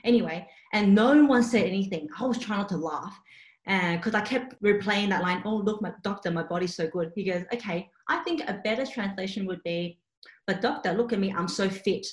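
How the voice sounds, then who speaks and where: A woman's voice: mid-range voice, a little fast. A young woman who grew up with Cantonese, chatting casually and telling stories with lots of fillers. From Australia. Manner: chatty, filler-heavy, animated storytelling. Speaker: interpreting student. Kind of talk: casual chat. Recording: roomy echo.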